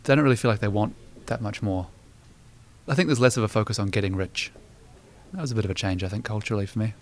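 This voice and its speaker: Young New Zealand man, low voice, brisk, relaxed chatty delivery. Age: 20s. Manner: relaxed, chatty, many "you know" fillers. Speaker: interviewee. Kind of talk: personal storytelling.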